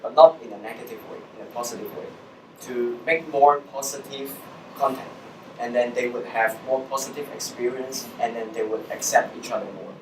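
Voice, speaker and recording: A man's voice: medium pitch, fairly even intonation, brisk. A young man with a Hong Kong accent giving an explanatory presentation to students as a social entrepreneur. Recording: echoey room, distant mic, background noise.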